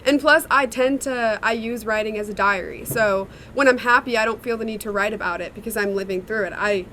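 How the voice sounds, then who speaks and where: A woman's voice: mid-range voice, brisk, bright, forceful tone, a little hiss or hum, low-quality recording. A young woman, a singer-songwriter, answering interview questions in a chatty, reflective way with many fillers. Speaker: songwriter and singer in a sister duo.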